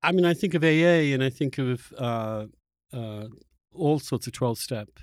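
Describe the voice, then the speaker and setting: Halting, reflective male podcast host, dry sound. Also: mid-range voice, expressive, no room sound. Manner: halting, searching, repeats words as he thinks. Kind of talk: reflective conversational interview.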